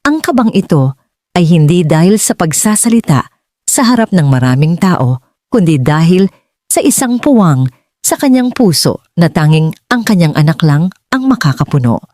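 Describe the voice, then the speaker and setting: A Filipino woman narrating a scripted dramatic story in measured, earnest phrases. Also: on the low side, big pitch swings, dark tone, dry recording, clean studio sound. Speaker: audiobook narrator.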